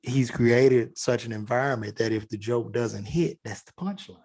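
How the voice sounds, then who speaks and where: Chicago dude comic on a podcast, laid back, curses a lot, lots of like and you know. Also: male voice, mid-range voice, moderate pace, a little mellow. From South Side of Chicago. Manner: loose, profane, lots of fillers and restarts. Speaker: guest comedian. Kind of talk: casual podcast chat.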